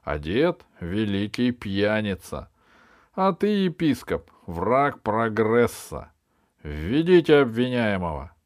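A man's voice: fairly low pitch, big pitch swings, takes it slowly, no room sound, clean studio sound, pitched above the performer's own voice. A man voicing a pompous, fussy king in a storybook, with grandiose, self-satisfied delivery. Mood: indignant, peevish. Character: pompous, fussy, capricious old-world king.

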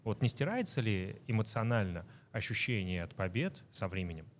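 A man's voice: on the low side, sing-song, normal speed, slight background noise. A man hosting a casual podcast interview, asking chatty, rambling questions. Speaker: podcast host.

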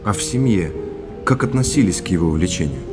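A man's voice: sits low, lively intonation, quick pace, over music. An actor playing an earnest, determined businessman husband in a naturalistic audio drama. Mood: calm.

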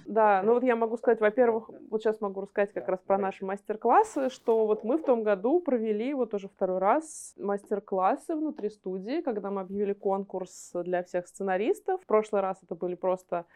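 A woman's voice: medium pitch, brisk, hardly any pauses, dark tone. A woman being interviewed as a guest, explaining things conversationally and often rephrasing herself mid-sentence. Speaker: interview guest from an animation studio.

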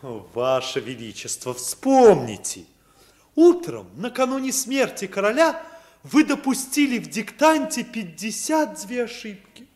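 A man's voice: high voice, sing-song, a little slow, darkish tone. A man in a 1950s radio play acting a deferential, earnest royal courtier with a theatrical manner. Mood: insistent.

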